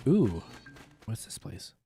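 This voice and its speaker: A man's voice: slow, warm tone. A man, chatting casually as he streams a retro video game. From Canada. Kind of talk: casual chat while playing a retro game on a livestream. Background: faint game music.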